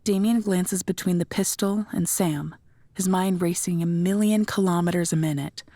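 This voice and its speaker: A woman's voice: lowish voice, fairly even intonation, moderate pace, warm tone, no echo. A woman reading scripted third-person narration for an audio drama.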